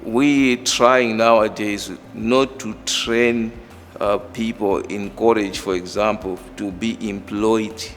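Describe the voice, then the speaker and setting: A middle-aged man with a Malawian accent, a professor explaining work and background in a measured, halting way. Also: on the low side, fairly even intonation. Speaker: engineering professor. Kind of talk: interview monologue explaining own work and background. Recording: reverberant hall, music underneath. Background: background music.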